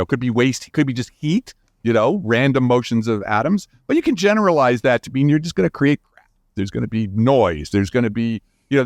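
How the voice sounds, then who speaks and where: A man's voice: medium pitch, no echo, clean studio sound. A man talking as a guest scientist in an interview, explaining in an informal, emphatic way and thinking aloud as he goes.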